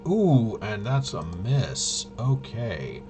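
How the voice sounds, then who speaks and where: A man thinking aloud in casual tabletop gameplay commentary, halting and muttering to himself. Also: on the low side, huge pitch swings, music in the background. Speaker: tabletop RPG player and presenter.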